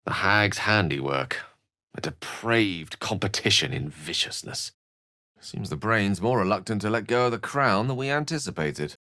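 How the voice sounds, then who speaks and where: An actor voicing a learned, courteous wizard in a video game, with eloquent, courtly phrasing and wry asides. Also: male voice, on the low side, lively intonation, normal speed, bright, forceful tone, no echo, studio quality. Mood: watchful. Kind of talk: video game character voice lines.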